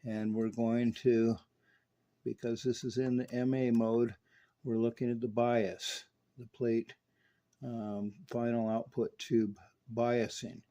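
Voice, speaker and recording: Mellow-voiced man giving a hands-on walkthrough. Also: medium pitch, level tone, slow pace, dull audio. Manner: methodical, step-by-step explaining. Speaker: radio repair technician presenting his restoration work. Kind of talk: hands-on demonstration narration to a customer.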